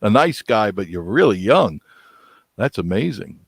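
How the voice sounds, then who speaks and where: A man in his sixties chatting casually, folksy and quick to laugh. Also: lowish voice, very animated, vocal fry, husky, dry recording, clean studio sound. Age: 60s. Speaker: guest on a live chat stream. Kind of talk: casual chat.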